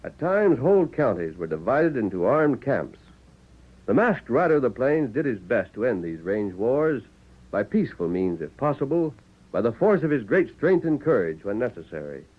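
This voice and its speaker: A man's voice: mid-range voice, big pitch swings, moderate pace. A man with an American accent giving grand, declamatory scripted narration as a 1940s radio announcer. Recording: muffled vintage 1940s radio recording.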